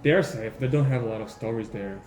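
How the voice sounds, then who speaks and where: A man's voice: mid-range voice, quick pace, darkish tone. A man from Rwanda working as a phone shop salesperson, chatting in a friendly way and agreeing often. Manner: friendly, lots of yeahs. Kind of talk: casual chat. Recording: echoey room, faint music.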